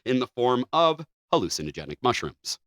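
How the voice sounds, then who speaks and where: Man, thirties, podcast co-host, bright tone, playful reader, dry sound. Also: medium pitch, very animated, a little fast, no echo. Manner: playful, reads story then riffs. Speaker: podcast co-host reading a news story. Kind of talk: casual chat and reading aloud.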